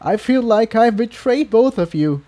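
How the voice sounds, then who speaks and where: A man voicing an anxious, apologetic female pony rebel in a storybook reading, speaking in a halting, earnest way. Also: high-pitched, lively intonation, warm tone, voice pushed up. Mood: tearful, guilty.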